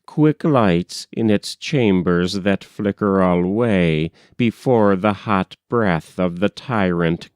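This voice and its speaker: A man reading poetry aloud in a measured, solemn recitation. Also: fairly low pitch, darkish tone, smooth, no room sound, clean studio sound. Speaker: poetry reader.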